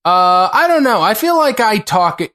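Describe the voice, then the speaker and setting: A man co-hosting a podcast in casual chat, halting and rambling with lots of restarts. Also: sits high, very animated, bright, forceful tone. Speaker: podcast co-host.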